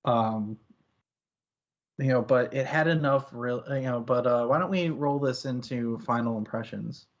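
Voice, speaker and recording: A man's voice: mid-range voice, measured pace, highs cut off. A man hosting a casual book discussion, talking in a rambling, opinionated, conversational way. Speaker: book club podcast host.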